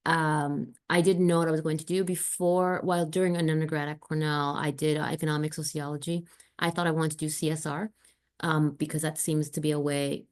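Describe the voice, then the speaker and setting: Woman from the dominican republic, very low voice, hesitant, thoughtful, fillers. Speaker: panelist. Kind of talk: panel answer.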